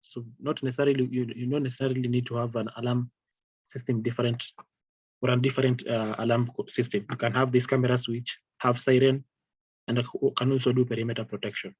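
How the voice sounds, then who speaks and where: A man giving an informal product training over slides in a halting, repetitive, hesitant way. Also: medium pitch, flat delivery, breathy edge, no echo, highs cut off. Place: online video call with screen-shared slides.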